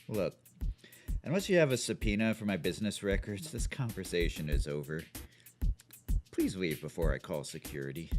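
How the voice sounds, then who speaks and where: A man's voice: deep voice, moderate pace, pauses often, music in the background, lightly acted. A man playing a cold, smug, greedy corporate executive in an audio drama, curt and dismissive. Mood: irritated. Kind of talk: audio drama villain acting.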